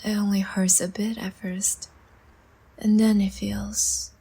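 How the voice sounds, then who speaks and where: Slow, breathy, seductive vampire woman played by an actress. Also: mid-range voice, level tone, full character voice. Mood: tender, predatory. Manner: soft, coaxing, slow and teasing, often breathy near-whisper. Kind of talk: intimate roleplay audio drama.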